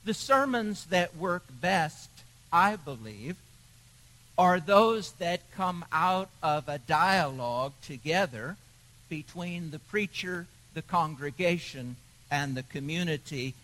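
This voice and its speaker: Slow-speaking preacher man. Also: a little high, expressive, pushed and bright, poor audio. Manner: storytelling, measured with pauses. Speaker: pastor. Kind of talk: sermon.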